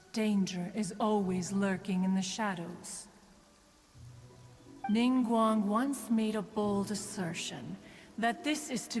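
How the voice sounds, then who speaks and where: A woman's voice: mid-range voice, natural voice. A woman playing an ancient, dignified crane immortal in a video game, speaking in a formal, archaic and haughty manner. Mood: stately. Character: dignified, proud crane adept, ancient female immortal master. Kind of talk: scripted video game voice acting. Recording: long reverberant tail, music underneath. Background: background music.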